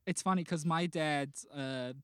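An Australian co-host chats casually on a podcast, playful and dropping deadpan dark jokes. The voice sits at a middle pitch. It is recorded dry and close to the mic. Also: measured pace, smooth, dry recording. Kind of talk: casual chat.

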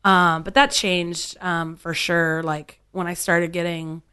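A woman's voice: fairly low pitch, level tone, slow pace, bright, forceful tone, clean tone. A woman of thirty-eight from California, a bartender chatting casually in an interview, with loose phrasing full of "like" and "um". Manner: chatty, loose, many fillers like "like" and "um". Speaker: bartender, guest.

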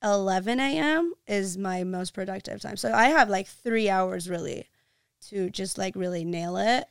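Young woman host, smooth dry voice. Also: medium pitch, moderate pace, no echo. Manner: chatty, full of "like" and "you know". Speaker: podcast host. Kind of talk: casual chat.

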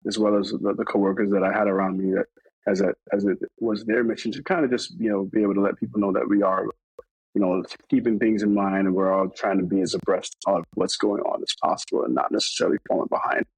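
A man's voice: fairly low pitch, fairly even intonation, fast, slightly rough, no echo, low-quality recording. A man from New York talking casually in an interview, rambling with many fillers and restarts. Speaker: interview guest.

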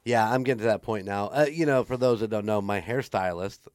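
A man's voice: lowish voice, fairly quick, darkish tone, no room sound, clean studio sound. A man co-hosting a podcast, chatting casually and joking, rambling with restarts. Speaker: podcast co-host. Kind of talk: casual chat.

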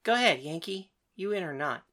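A confident young woman card player, as a female narrator puts her on, speaks in a very low voice with lively swings of pitch. She is cool and bossy, teasing the people around her as she tells them what to do. It is storybook dialogue. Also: a little fast. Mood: cool, insistent.

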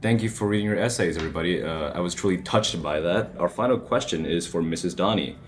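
A man's voice: deep voice, quick pace. A male student host reading scripted questions and announcements in a presenter's style. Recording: music behind the voice.

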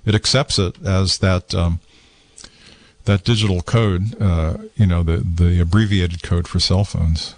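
A man's voice: low voice, measured pace. Rambling man hosting a call-in radio show. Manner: relaxed and rambling, with many fillers and restarts. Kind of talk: casual call-in tech chat. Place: small satellite radio studio. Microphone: studio microphone with headphones.

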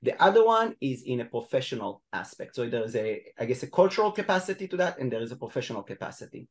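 A man with an Israeli accent talks as a guest in a podcast interview. He explains at length, pausing on ums and uhs and restarting his sentences. His pitch sits in the middle range for a man. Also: sing-song. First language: Hebrew. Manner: explanatory, many fillers and restarts. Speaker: guest expert.

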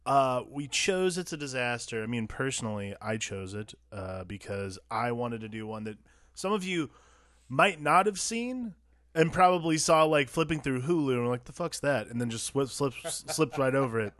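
A man co-hosting a film podcast, relaxed and rambling, often laughing while he talks. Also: mid-range voice, dry recording. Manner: laid-back, rambling, quick to laugh. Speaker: podcast co-host. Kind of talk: casual podcast chat.

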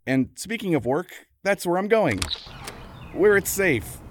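An actor playing a whiny, sarcastic, put-upon husband in a comedy audio drama. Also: male voice, high-pitched, very animated, unhurried, very noisy. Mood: flustered. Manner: complaining, sarcastic.

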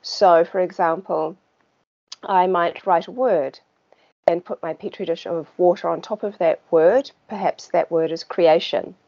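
A woman with a New Zealand accent and a fairly low voice talks easily with an interviewer, warm in tone and unhurried, with filler words along the way. The recording is dull and lo-fi. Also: creaky. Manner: relaxed and chatty, with small laughs and filler words. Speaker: guest. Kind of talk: casual conversational interview. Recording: dull lo-fi sound.

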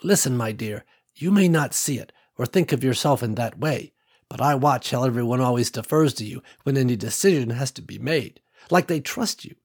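A man voicing a wise elderly woman farmer who speaks gently and folksily, calling others honey and sweetie. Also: medium pitch, expressive, moderate pace, husky. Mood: gentle. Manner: gentle folksy drawl with endearments.

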